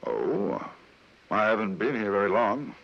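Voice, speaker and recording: An actor playing a polite English newspaperman in a 1950s radio western, speaking and narrating in a measured, understated British accent. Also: on the low side, sing-song, gravelly, exaggerated voice. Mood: polite, reserved. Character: courteous, observant English newspaperman travelling the frontier. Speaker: narrator and lead. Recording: muffled radio recording with music.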